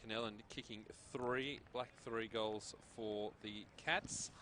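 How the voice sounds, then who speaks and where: A man's voice: on the low side, fairly even intonation, takes it slowly, sharp tone, a little hiss or hum. A middle-aged Australian man hosting a football broadcast, chatting and joking before reading out scores and ladder standings. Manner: chatty, jokey, reads out scores. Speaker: sports broadcast host.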